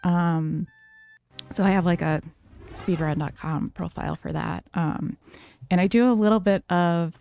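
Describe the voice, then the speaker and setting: A woman livestreaming a game, talking calmly and softly to her chat with lots of fillers. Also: fairly low pitch, a little slow, vocal fry, faint music behind. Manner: soft, calm, many fillers. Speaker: streamer.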